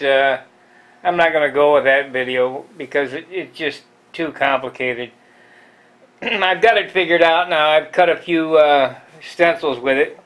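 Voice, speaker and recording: A man giving a casual, rambling tour of his home model-airplane workshop, halting with fillers and clearing his throat, recorded on a handheld camera. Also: a little high, fairly bright tone, rough recording. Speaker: model airplane builder, channel host. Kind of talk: casual shop tour vlog. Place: cluttered home hobby workshop, indoors.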